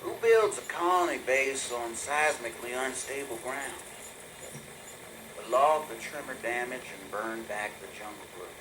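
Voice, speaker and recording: A man's voice: mid-range voice, expressive, measured pace, close to an everyday voice. Man playing a grumbling, noise-backed colony crewman. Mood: irritated. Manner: grumbling, dry. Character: disgruntled, weary colony crewman hating his post. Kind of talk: scripted video game audio log.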